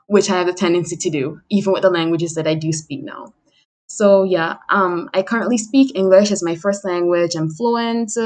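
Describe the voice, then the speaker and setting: A young adult woman chatting casually and self-deprecatingly, as a livestream host introducing herself. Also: on the low side. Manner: self-deprecating, chuckles while talking. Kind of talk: casual chat monologue.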